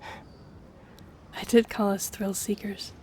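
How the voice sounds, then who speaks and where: A woman's voice: medium pitch, little pitch movement, extremely slow, mellow. A woman playing a friendly, earnest young woman with a shy romantic streak in an intimate audio roleplay, speaking to the listener. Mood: earnest, warm. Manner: chatty, earnest, flustered hesitations when romantic. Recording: clear background ambience noise.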